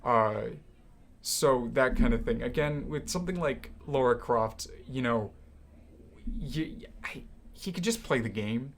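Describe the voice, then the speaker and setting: A man's voice: medium pitch, expressive, measured pace. Casual male podcast co-host, noisy recording. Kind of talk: casual movie chat. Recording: noisy amateur room recording.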